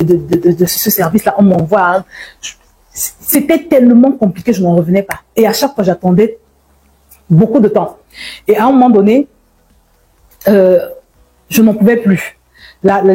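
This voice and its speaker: Mellow, slightly husky woman sharing faith testimony. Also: medium pitch, low-quality recording. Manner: emphatic, repeats words and phrases for stress. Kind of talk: informal religious testimony and exhortation.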